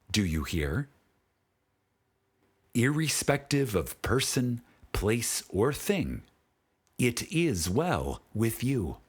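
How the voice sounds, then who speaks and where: A man with a husky voice reads a spiritual audiobook in a solemn, deliberate manner with dramatic pauses. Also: fairly low pitch. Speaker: audiobook narrator. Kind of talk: scripted spiritual audiobook narration.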